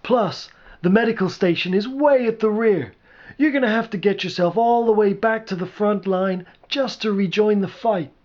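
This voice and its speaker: A man's voice: high voice, normal speed, a little mellow, dull audio, pitched above the performer's own voice, close to an everyday voice. A man voicing a practical, doubtful but well-meaning sidekick who reasons tentatively in a storybook reading.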